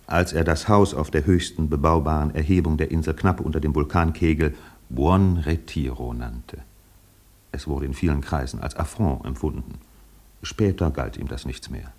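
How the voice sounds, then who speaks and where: A man narrating a literary radio play in a measured, precise, faintly ironic manner. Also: very deep voice, darkish tone.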